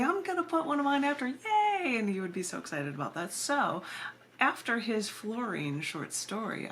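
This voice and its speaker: A woman's voice: on the low side, fairly bright tone. A woman poet and editor chatting informally as she introduces her own readings, rambling into playful asides. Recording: noisy amateur camera recording.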